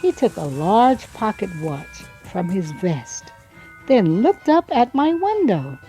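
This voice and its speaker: A woman reading a children's story aloud expressively, with measured, dramatic phrasing and pauses. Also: medium pitch, big pitch swings, warm tone, soft background music, low-quality recording. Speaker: children's book reader.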